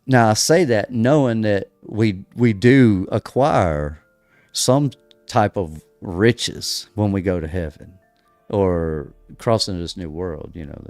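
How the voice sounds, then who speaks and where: An American man hosting a religious podcast, delivering emphatic commentary full of rhetorical questions, pauses and restarts. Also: on the low side, a little mellow, no echo, soft background music. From United States. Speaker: podcast host. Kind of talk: preaching-style religious commentary.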